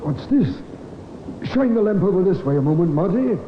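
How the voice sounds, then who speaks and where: Uneasy colloquial mine workman, very animated, vintage radio drama, muffled noisy sound. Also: high-pitched, moderate pace. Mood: uneasy, curious. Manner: colloquial, expressive. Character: uneasy, folksy working man inspecting a mine. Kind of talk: old time radio drama acting. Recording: echoey, muffled, noisy old radio recording.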